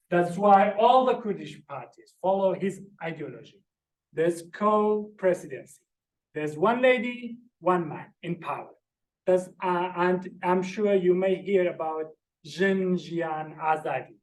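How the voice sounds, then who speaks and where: A man's voice: high voice, measured pace. A man giving an academic lecture from slides, earnestly explaining each term one by one. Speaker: visiting lecturer. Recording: roomy echoey room.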